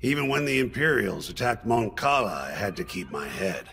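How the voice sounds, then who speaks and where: A man voicing an older fish-like alien officer, solemn and steadfast, speaking slowly and weightily with a gravelly voice in a video game. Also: on the low side, lively intonation, fairly bright tone, a little hiss or hum. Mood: sorrowful. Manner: slow, emphatic, weighty. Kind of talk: video game cutscene dialogue.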